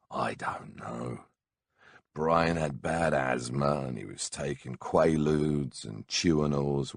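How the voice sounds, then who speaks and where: A man reads a memoir in an extremely low, gravel-filled voice thick with vocal fry. He takes his time, sounding laid-back and wryly blunt, as if telling stories across a table. The sound is close and dry. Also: no echo. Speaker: audiobook narrator. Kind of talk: memoir audiobook narration in a conversational, storytelling way.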